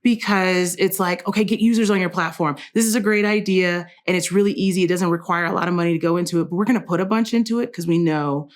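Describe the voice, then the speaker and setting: A woman's voice: fairly low pitch, fast talker, few pauses. A woman interview guest telling anecdotes in a casual chat, often acting out quoted remarks.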